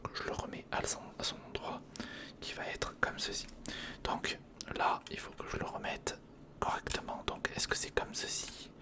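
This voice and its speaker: Whispering casual French-language ASMR creator. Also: normal speed. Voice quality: breathy, unvoiced whisper. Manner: casual, thinking aloud while assembling, repeats words. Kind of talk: ASMR, whispered build-along commentary. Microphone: close recorder microphone.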